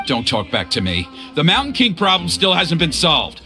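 A man's voice: on the high side, wildly swooping pitch, moderate pace, bright, forceful tone, soft background music, exaggerated voice. A man voicing a stern, protective middle-aged father and tribe elder in video game dialogue. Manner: gruff, then flustered and apologetic.

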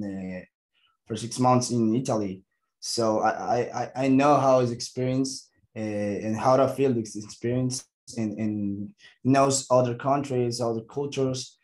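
A young man with a Mexican Spanish accent answers questions at a press conference. His English is halting, full of restarts and pauses as he reaches for the right word. His voice sits in a medium range. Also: mid-range voice, steady tone, darkish tone. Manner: halting, searching for English words, many restarts. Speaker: football player.

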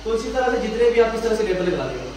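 A man in his twenties with a Pakistani accent, explaining informally as he hosts a product demonstration and sales pitch, often adding check-in tags. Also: sing-song, fast. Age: 20s. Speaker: business video host demonstrating machinery. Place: small tiled indoor factory room. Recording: echoey tiled room, distant mic, faint noise.

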